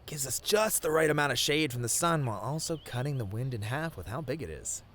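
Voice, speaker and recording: A man playing a sweet, bashful boyfriend in a romantic ASMR roleplay, talking tenderly in a flustered, halting way. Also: mid-range voice, lively intonation, fairly bright tone. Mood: appreciative, happy. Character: sweet, bashful, rambling boyfriend on a picnic date.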